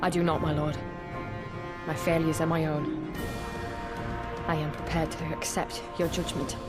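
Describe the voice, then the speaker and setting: A woman's voice: deep voice, level tone, a little slow, loud music behind the voice. A woman playing a proud, grimly dutiful military commander in a video game, formal and submissive toward her superior. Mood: grim, resigned. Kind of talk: video game cutscene acting.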